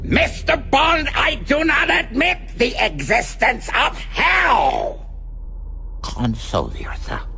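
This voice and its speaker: An actor playing a cold, grandiose, sadistic villain in a radio drama, formal and deliberate, with menacing politeness and a gravelly voice. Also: male voice, extremely high pitch, huge pitch swings, bright, forceful tone, a little hiss or hum, full character voice. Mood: imperious scorn. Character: cold, sadistic, grandiose male villain toying with his captive. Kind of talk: theatrical radio drama acting.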